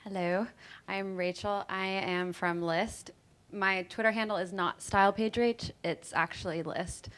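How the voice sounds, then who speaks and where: A woman speaking as a panelist in a relaxed, casual way. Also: mid-range voice, unhurried, clean tone. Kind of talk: panel discussion.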